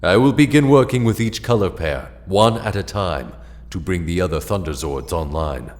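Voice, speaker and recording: A man playing a solemn, authoritative alien mentor of heroes in an audio drama, speaking deliberately. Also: lightly acted. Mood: grave. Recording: long booming reverb, faint hum.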